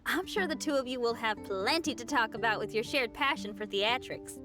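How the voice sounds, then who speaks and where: A woman's voice: a little high, normal speed, over music, close to an everyday voice. A woman playing a friendly, outgoing young adventurer in a video game, casual and enthusiastic. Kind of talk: video game cutscene acting.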